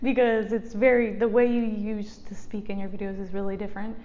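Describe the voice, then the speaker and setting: A young woman chatting to her viewers while getting ready, rambling and laughing often, with a soft, resonant voice. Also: mid-range voice, level tone, a little fast, muffled recording. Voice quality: soft resonant speaking voice after speech therapy. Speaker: YouTuber. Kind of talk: chatty get-ready-with-me vlog. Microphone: desk mic in front of her.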